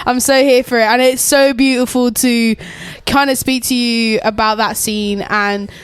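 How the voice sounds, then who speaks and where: A young woman with a slightly high voice hosts a casual interview. She gushes over her guest, adding reactions and fillers as she talks. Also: fairly even intonation, normal speed, clean tone, no room sound. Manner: enthusiastic, effusive, lots of fillers and interjections. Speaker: interview host.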